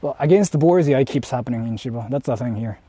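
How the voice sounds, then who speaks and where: A man's voice: medium pitch, quick pace, mellow, breathy edge, no room sound. A young man streaming live while exploring outdoors, talking casually and rambling in asides to his viewers. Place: outdoors exploring a rural area.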